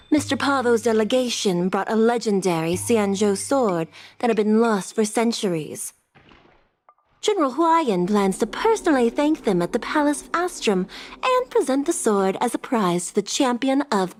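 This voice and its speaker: A woman's voice: fairly high pitch, lightly acted. A woman playing a courteous, formal government secretary in a video game, speaking politely and deferentially. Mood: polite, inviting. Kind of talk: scripted video game dialogue.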